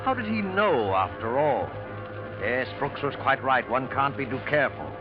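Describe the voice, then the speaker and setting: An actor playing a suspenseful storyteller, delivering dramatic scripted narration in a 1940s radio style. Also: male voice, medium pitch, animated, moderate pace, close to an everyday voice. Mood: ominous. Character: suspenseful male storyteller voicing uneasy thoughts. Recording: muffled old radio broadcast. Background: loud dramatic music.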